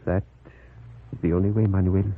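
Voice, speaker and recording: Grave, deep-voiced fatherly priest. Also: level tone. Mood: grave, explaining. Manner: slow, deliberate, soothing. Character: grave, calm, fatherly Spanish colonial priest. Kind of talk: 1940s radio acting. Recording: noisy, tinny old radio recording.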